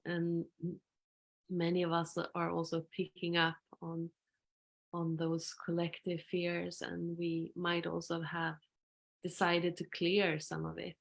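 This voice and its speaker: Slow, low-voiced woman hosting. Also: completely flat delivery, gravelly. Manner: slow, thoughtful, with soft affirming murmurs. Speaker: interview host. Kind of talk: live-streamed spiritual interview.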